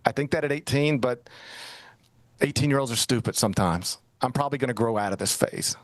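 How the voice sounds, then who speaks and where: A man's voice: medium pitch, a little fast, dry recording. A middle-aged man hosting a sports talk show, chatting casually and telling a rambling, self-mocking story. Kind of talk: casual radio-style chat.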